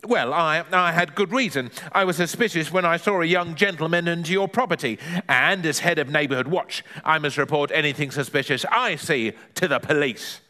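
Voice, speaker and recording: A man's voice: a little high, moderate pace, hardly any pauses, sharp tone. Man doing a nasal, pompous old major. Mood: blustering. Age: older. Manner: barking, pompous, officious. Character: nosy, pompous retired major, suspicious bullying older man.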